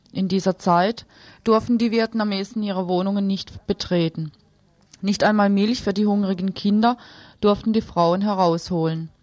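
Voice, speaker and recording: A woman works as a radio news presenter. Her voice sits at an average pitch, recorded close and dry with very little room sound. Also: no room sound.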